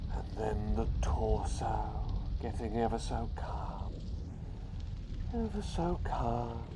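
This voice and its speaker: A man playing an eccentric, kindly doctor turned into a talking bird, in a soft, soothing, partly whispered ASMR roleplay with playful, repetitive phrasing. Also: mid-range voice, noisy background, heavily acted. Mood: soothing, drowsy. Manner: playful, avuncular British-style phrasing, with drawn-out repetitions.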